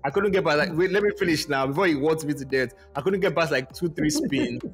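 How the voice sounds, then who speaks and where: A man's voice: fairly high pitch, quick pace. Man hosting over background music. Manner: informal, slangy, opinionated. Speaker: music podcast host. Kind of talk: casual music review chat with a co-host.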